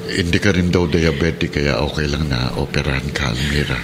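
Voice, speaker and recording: A very deep-voiced Filipino husband. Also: male voice, fairly even intonation, fairly quick, background noise. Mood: reassuring. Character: devoted reassuring husband, steady and protective. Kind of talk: Filipino radio drama acting.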